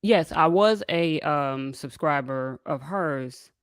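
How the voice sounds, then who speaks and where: A woman calling in as a guest who speaks calmly and hesitantly, restarting her sentences often. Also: deep voice, slow, clean tone, no room sound, clean studio sound. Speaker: call-in guest.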